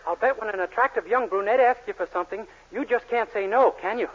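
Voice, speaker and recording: A naive, eager young male clerk in a 1940s radio comedy. He talks fast in a high, guileless voice. The recording sounds muffled and old. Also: breathy edge. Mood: wheedling. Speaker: sitcom lead actor.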